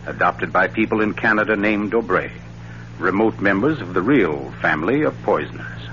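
An actor playing a suave, theatrical gentleman writer turned sleuth in a 1940s radio mystery, speaking in a pompous, urbane, teasing way. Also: low-pitched, lively intonation, moderate pace. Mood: wry, explanatory. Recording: scratchy, dull 1940s radio recording with hum.

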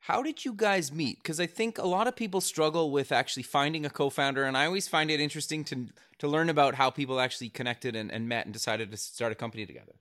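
A man's voice: fairly high pitch, fast, few pauses, fairly bright tone. Upbeat curious male podcast host. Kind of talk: interview questions.

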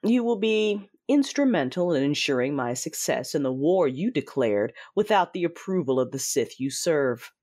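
An actor playing a cruel, vengeful Sith lord, narrating an audio drama in a deliberate, commanding, menacing way with a husky voice. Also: medium pitch, expressive, darkish tone, close to an everyday voice. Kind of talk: first-person audio drama narration with dialogue. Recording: dramatic music behind the voice. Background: music.

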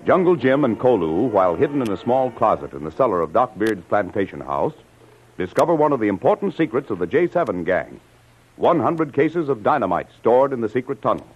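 A man's voice: mid-range voice, very animated, fairly quick, lightly acted. A man narrating an old radio adventure serial as announcer, in a dramatic, declamatory scripted style. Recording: dull lo-fi old radio recording.

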